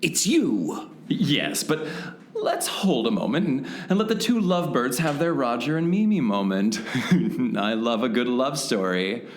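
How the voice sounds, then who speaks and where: A man's voice: medium pitch, very animated, measured pace. An actor playing a gloating, smug villain mastermind in a parody radio drama, sardonic and taunting as he draws out his words. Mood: smug, mocking. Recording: roomy echo, faint music underneath.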